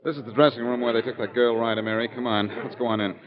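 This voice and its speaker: An actor playing a cool, confident private detective in an old-time radio drama. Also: male voice, medium pitch, fairly even intonation, quick pace, soft background music, lightly acted. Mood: cool, assured. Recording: muffled old radio recording.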